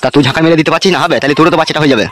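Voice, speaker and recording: A man's voice: fairly high pitch, fairly even intonation, very fast, close to an everyday voice. A man voicing a crude, bossy leader who teases his friend in a comedy cartoon. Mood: teasing.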